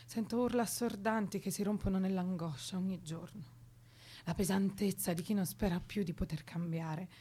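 A woman's voice: medium pitch, steady tone, normal speed, mellow, breathy edge, close to an everyday voice. An actress playing a guarded, restless young woman activist in a radio drama, speaking in an intimate, colloquial way that moves between teasing and earnest. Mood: weary, defiant. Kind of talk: naturalistic Italian radio drama dialogue.

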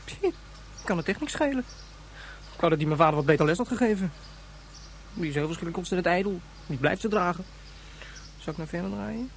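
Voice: mid-range voice, expressive, fairly quick, gravelly, long pauses, a little hiss or hum. An actor in a radio drama playing a curious, opinionated child who mutters childlike musings to themselves. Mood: curious, muttering. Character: curious, opinionated child watching history lessons alone.

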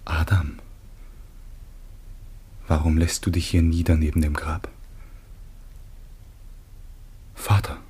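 An actor playing a grave, gentle grieving son in a classic German radio drama, breathy and theatrical. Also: male voice, extremely low pitch, heavily acted. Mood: anguished, hushed.

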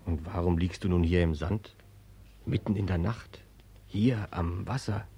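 Mysterious male alter ego, very low and dry, deliberate, probing, persistent, 1940s radio drama. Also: steady tone, mellow, slightly rough, no room sound, exaggerated voice. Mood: probing, calm. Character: mysterious, persistent male inner voice, insistent yes-sayer.

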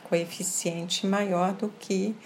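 A woman from France with a slight French accent, answering interview questions in a measured way, stressing single words and often checking in with "tá?". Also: mid-range voice, little pitch movement, a little hiss or hum, poor audio. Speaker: interview guest. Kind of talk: casual interview conversation.

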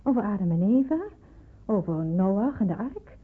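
Vurige jonge Joodse onderduikster, hese stem. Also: female voice, mid-range voice, lively intonation, moderate pace, husky. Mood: earnest, fervent. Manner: earnest, emphatic, pleading delivery. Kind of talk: Dutch radio play acting. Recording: muffled narrowband old radio play.

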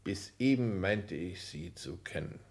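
An actor playing an older, reserved and haughty count in a 1960s German radio play, speaking in formal, measured, aristocratic diction. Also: male voice, low-pitched, animated.

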